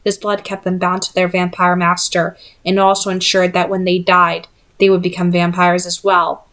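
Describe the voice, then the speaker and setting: A woman's voice: lowish voice, monotone, moderate pace, a bit bright. Woman reading a novel aloud. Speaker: audiobook-style reader. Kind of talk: scripted novel narration, read aloud.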